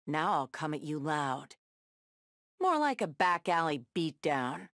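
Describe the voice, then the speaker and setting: An actress playing a tough, sardonic superheroine brawler in a video game, tossing out sassy, taunting one-liners. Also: female voice, low voice, very animated, takes it slowly, a bit bright, no room sound, clean studio sound, exaggerated voice. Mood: cocky, taunting. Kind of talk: video game fight banter.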